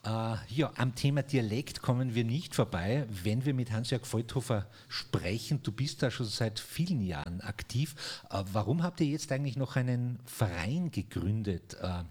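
A man's voice: mid-range voice, animated, moderate pace, a little mellow. Male radio host, chatty. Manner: conversational, many fillers and restarts. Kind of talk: radio interview.

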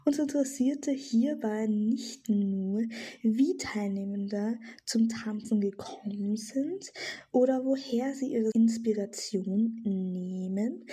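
A woman reads a scripted voice-over in a calm, measured way. Her voice is very breathy and mellow, and she speaks slowly. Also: on the high side. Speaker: narrator.

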